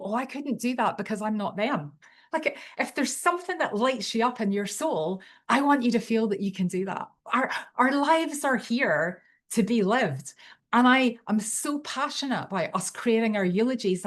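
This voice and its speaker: A woman with a Belfast accent giving a live online tutorial, talking in an enthusiastic, chatty and unpolished way over a computer microphone. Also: a little high. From Northern Ireland. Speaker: webinar presenter.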